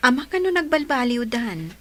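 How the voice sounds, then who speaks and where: Woman acting supportive young girlfriend in a radio play, highish breathy voice, gently persuasive. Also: sing-song, moderate pace, heavily acted. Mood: concerned. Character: supportive, devoted young girlfriend, patient and steadfast.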